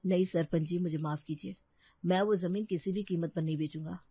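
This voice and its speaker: A woman playing a naive, gentle young male student in a cartoon story, hesitant, earnest and deferential. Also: lowish voice, steady tone, fairly quick, breathy edge, no room sound, muffled recording. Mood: politely firm.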